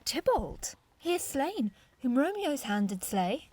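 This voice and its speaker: A woman's voice: high voice, very animated, takes it slowly, darkish tone, dry recording, exaggerated voice. A woman playing an anxious, loyal friend who witnesses a brawl, urgent and emphatic in a Shakespeare audio drama. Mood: pleading.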